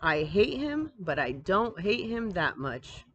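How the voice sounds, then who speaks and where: A woman's voice: lowish voice, very animated, takes it slowly, a bit bright, exaggerated voice. A woman voicing a hot-headed young girl in an emphatic storybook read-aloud. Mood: angry, resentful. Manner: emphatic, exclaiming. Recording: outdoor ambient noise, device microphone.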